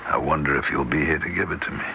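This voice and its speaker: An actor playing a weary, devoted older husband in an old-time radio drama, speaking in a subdued, halting way. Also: male voice, extremely low pitch, fairly even intonation, creaky, background noise. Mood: troubled, hushed.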